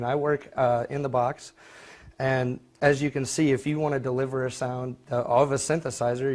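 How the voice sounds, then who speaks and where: A man's voice: mid-range voice, a little mellow. A young man giving an informal student project presentation, speaking a little hesitantly and correcting himself as he goes. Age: young adult.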